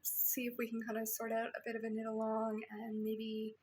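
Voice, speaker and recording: A woman's voice: a little high, monotone, normal speed. A woman from Canada with a Canadian accent, hosting a casual show-and-tell podcast from her yarn shop, chatty and full of ums. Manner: chatty, with frequent ums and soft laughs.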